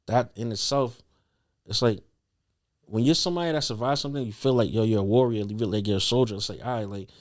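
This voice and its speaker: A man's voice: fairly low pitch, quick pace. A young man telling a personal story as a podcast guest in a casual, slangy, earnest conversational way.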